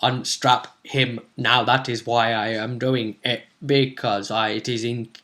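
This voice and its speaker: A young man voicing a stilted, over-obvious role-player who justifies himself with slow, deliberate mock earnestness. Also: mid-range voice, level tone, bright, forceful tone. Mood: mock-earnest.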